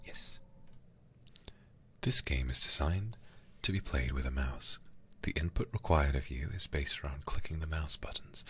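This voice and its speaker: A man livestreaming a game in an intimate ASMR style, hushed and often whispering close to the microphone. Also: a bass voice, measured pace, husky. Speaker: livestream host playing a video game.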